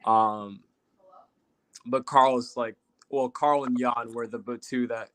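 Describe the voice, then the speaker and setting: Young guy telling long stories, lots of um and like, pretty monotone. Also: mid-range voice, slow pace, no echo. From Puerto Rico. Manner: relaxed rambling storytelling with many fillers. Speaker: guest. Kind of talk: casual interview chat.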